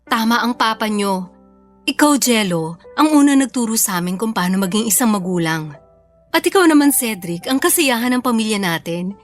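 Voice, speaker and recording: Woman playing affectionate mother, mock-scolding, raised pitch, family radio drama, faint music bed. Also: animated, normal speed, lightly acted. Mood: tender. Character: loving, mock-scolding mother of two sons.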